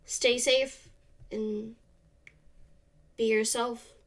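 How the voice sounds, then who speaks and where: A young woman hosting a solo podcast, slightly congested from a cold, speaking in a soft, halting way that trails off. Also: fairly high pitch, little pitch movement. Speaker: podcast host. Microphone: camera off to the side.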